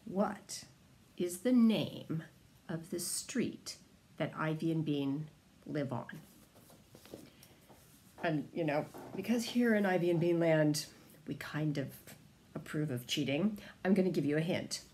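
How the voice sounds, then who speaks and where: A middle-aged woman chatting casually to camera at home in a droll way with theatrical pauses, as an author giving trivia. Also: low voice, darkish tone. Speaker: children's author. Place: indoors, home room. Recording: faint room noise.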